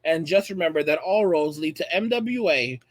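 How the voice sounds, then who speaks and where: High-voiced American man, emphatic commentator. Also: expressive, normal speed, no echo. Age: late 30s. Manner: emphatic, repeats phrases for effect. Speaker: online video channel host. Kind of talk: opinionated commentary addressed to viewers.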